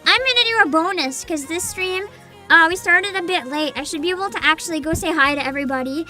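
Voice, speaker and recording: A woman livestreamer chatting casually with her viewers in an animated, exclamatory way. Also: extremely high pitch, fast. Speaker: livestream host, VTuber. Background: music.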